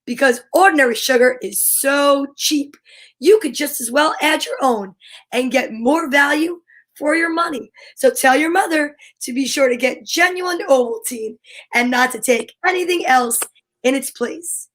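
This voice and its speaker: A woman playing an old-time radio announcer, pitching and narrating a children's adventure serial. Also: measured pace, a bit bright. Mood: eager selling. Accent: put-on French, then Jersey. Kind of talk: 1930s radio show re-enactment.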